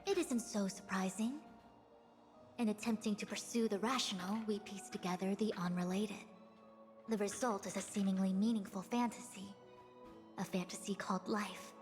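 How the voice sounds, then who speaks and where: A woman's voice: medium pitch, moderate pace, darkish tone, in a large hall, soft background music, natural voice. A woman voicing a composed, erudite diviner in a video game, speaking formally and analytically. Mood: intrigued, musing.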